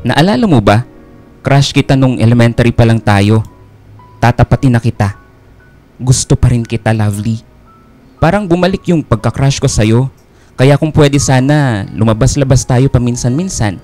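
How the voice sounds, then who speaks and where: A man acting a self-assured young suitor, mid-pitched. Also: lively intonation, normal speed, pauses often, darkish tone, natural voice. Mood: earnest, courting. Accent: Filipino. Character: smooth-talking, possessive young suitor boyfriend. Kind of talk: Filipino radio drama acting. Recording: music bed behind the voice.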